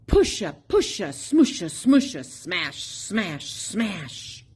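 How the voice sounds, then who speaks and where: Very slow, animated woman reading a picture book. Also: lowish voice, husky. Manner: sing-song, drawn-out, playful emphasis on sound words. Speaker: storybook reader at a public library. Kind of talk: expressive read-aloud of a children's picture book.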